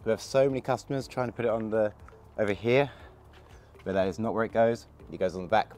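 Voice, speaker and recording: A man with a gravelly voice from a cold, casually talking through a hands-on installation while he works. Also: fairly low pitch, fast. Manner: relaxed, chatty, talks while working with hands. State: hoarse from a cold. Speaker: product installer demonstrating. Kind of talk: casual how-to demonstration.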